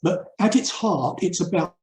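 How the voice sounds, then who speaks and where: An older man from England giving a reflective webinar talk, earnest and emphatic, with deliberate pauses. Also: sits high, lively intonation. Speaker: urban mission speaker and theologian. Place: indoor room, online webinar. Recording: roomy, echoey webinar audio.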